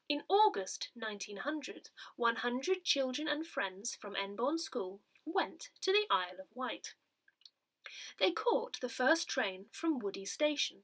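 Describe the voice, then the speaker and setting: Higher-pitched woman, very lively reading. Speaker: newsletter reader. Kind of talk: scripted read-aloud of a parish newsletter.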